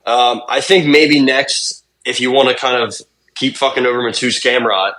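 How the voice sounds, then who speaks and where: A man's voice: medium pitch, steady tone, normal speed, pushed and bright. A young man co-hosting a sports podcast, talking in a laid-back, rambling way and swearing casually. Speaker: podcast co-host.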